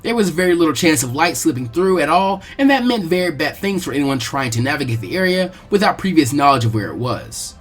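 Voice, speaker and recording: A man's voice: a little high, big pitch swings, a little fast, hardly any pauses, slightly rough, faint music behind. A man reading scripted fan fiction narration aloud as a storyteller.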